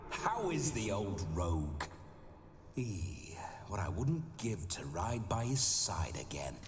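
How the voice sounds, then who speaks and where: A man's voice: sits low, sing-song, slow pace, noisy background, heavily acted. An actor playing the jovial, boastful ghost of a hard-drinking nobleman brawler in a video game. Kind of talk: video game cutscene acting. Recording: game audio with reverb and music.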